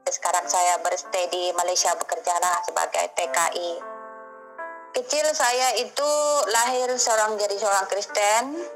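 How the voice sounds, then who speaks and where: Lady telling her testimony slow and unsure, clear music under her. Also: mid-range voice, fairly even intonation, low-quality recording. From Medan, Indonesia. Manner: slow, hesitant storytelling. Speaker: migrant worker giving a testimony. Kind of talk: personal testimony.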